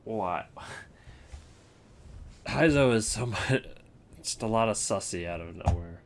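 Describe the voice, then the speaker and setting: A man's voice: fairly low pitch, expressive, slow pace. A man hosting a video game ranking, giving wry, opinionated commentary in a casual, improvised way.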